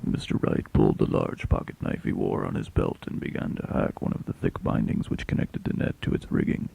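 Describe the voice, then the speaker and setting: A man narrating a dramatic first-person sea story as a shipwrecked fishing captain, intense, theatrical and deliberate, with a gravelly, husky voice. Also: extremely low pitch, fairly even intonation, warm tone. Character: shipwrecked fishing captain telling his tale.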